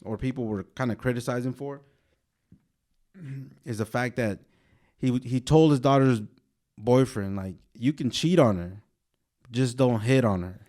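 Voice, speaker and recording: A young man co-hosting a casual podcast, slightly hoarse from a sore throat, rambling in a laid-back way. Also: mid-range voice, a little slow, pauses often, warm tone. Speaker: podcast co-host. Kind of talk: casual chat.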